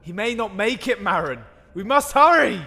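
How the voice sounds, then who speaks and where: A man voicing a wounded, earnest young anime fighter with a husky voice, in a fan-made roleplay. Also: sits high, animated, moderate pace, bright, forceful tone, big-room sound, heavily acted. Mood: desperate.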